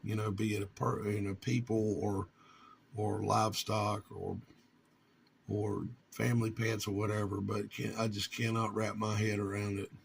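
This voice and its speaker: A man from North Carolina, talking informally to his viewers in a halting, earnest way with long pauses. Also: lowish voice, level tone, gravelly, slight background noise. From North Carolina, United States. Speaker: vlogger and amateur radio hobbyist.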